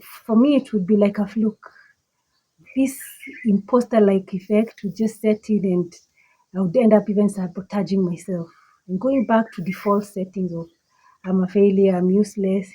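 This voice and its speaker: A woman with a Kenyan accent and a slightly husky voice, giving an emphatic, deliberate motivational monologue that repeats phrases for effect. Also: medium pitch, mellow, low-quality recording. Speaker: motivational speaker.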